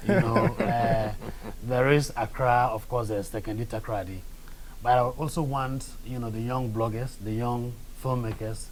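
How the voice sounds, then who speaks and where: A young Ghanaian man speaks at an ordinary male pitch, choosing his words slowly with plenty of "uh" and "you know." Faint background noise runs under his voice. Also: fairly even intonation, low-quality recording. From northern Ghana. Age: young adult. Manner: measured, hesitant, many fillers and a soft laugh. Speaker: guest, documentary filmmaker. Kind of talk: interview conversation.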